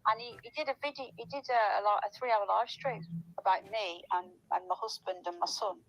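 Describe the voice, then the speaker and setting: A woman talks in an informal, gossipy style. Her voice is middling in pitch, and her delivery is rambling and conversational. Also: a little fast, fairly bright tone, rough recording. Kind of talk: casual gossipy chat.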